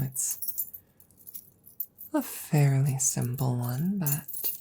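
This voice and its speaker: A woman playing a wry, affectionate witch soothing her familiar in an intimate ASMR audio roleplay, soft and gently teasing, often near a whisper. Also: extremely low pitch, gravelly, slightly rough, heavily acted. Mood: confiding, wry.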